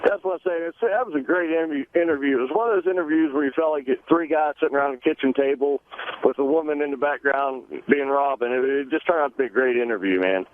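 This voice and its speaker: A man's voice: a little high, fast talker. A male caller giving casual opinions to a radio show over the phone. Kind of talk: casual call-in chat. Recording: thin phone line.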